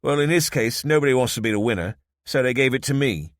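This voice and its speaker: A man's voice: medium pitch, lively intonation, fast, no echo, pitched above the performer's own voice, natural voice. A man doing a wry London-accented police chief. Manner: dry, wry, understated. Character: wry middle-aged man, reluctant town police chief.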